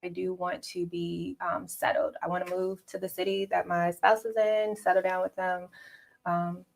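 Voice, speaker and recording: A woman's voice: fairly low pitch, flat delivery, moderate pace, creaky. A woman in her thirties from Chicago with an American accent, introducing herself in a friendly way on a dating show. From Chicago, United States. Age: 30s. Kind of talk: casual introductions.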